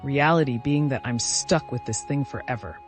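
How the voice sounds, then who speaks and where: A man performs a troubled fantasy hero worn down by the dark thing inside him. He sounds weary and questioning, with music behind. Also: medium pitch, normal speed, natural voice. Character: exhausted, troubled man possessed by a dark entity.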